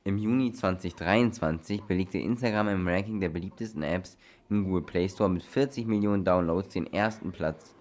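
A man's voice: deep voice, normal speed, few pauses, darkish tone. A man presenting on a children's radio programme, reading a scripted factual report.

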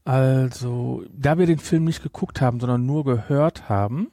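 A man hosting a podcast in casual chat, often halting and correcting himself as he goes. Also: mid-range voice, a little mellow, dry recording, clean studio sound. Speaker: podcast host.

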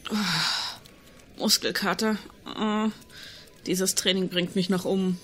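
A weary, skeptical agent in a comic audio drama. She complains in a slow, drawn-out voice of medium pitch, with faint noise behind. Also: steady tone, fairly bright tone. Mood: groaning, exhausted. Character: weary, skeptical female agent with sore muscles.

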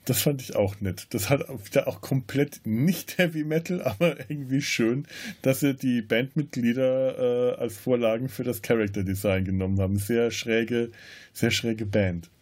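A man podcast host in a casual film discussion, often halting as he searches for words. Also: medium pitch, darkish tone.

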